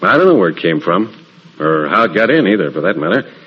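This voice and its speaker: A deep-voiced, plain-spoken innkeeper talks casually and politely to his customers in a radio drama. His voice is a bit husky, and the sound is dull like an old broadcast. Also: very animated, quick pace, exaggerated voice. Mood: offhand. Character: plain-spoken, practical male innkeeper humoring a drunk customer.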